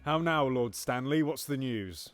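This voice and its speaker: A man's voice: medium pitch, expressive, measured pace, faint music behind, natural voice. An actor playing a scheming, paranoid usurping king in a Shakespearean audio drama, swinging between menacing whispers and sudden outbursts.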